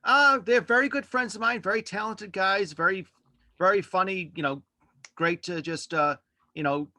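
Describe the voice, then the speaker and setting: A man talking casually as a podcast host being interviewed in a relaxed conversational exchange. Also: sits high, moderate pace, no echo. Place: indoors at home, on a video call.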